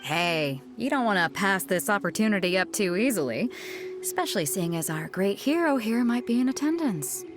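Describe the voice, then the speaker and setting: A woman's voice: medium pitch, big pitch swings, moderate pace, music in the background, lightly acted. An actress voicing a hearty, confident female ship captain in a video game, with breezy, teasing delivery. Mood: teasing, amused. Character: bold, hearty female ship captain, swaggering and good-humoured. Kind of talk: video game story dialogue.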